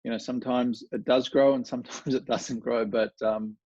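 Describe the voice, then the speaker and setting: A man's voice: mid-range voice, normal speed, dry recording. Australian male guest, easygoing and meandering, muffled close dry audio. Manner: relaxed, rambling with many fillers and restarts. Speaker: golf coach, guest. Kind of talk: casual conversational interview.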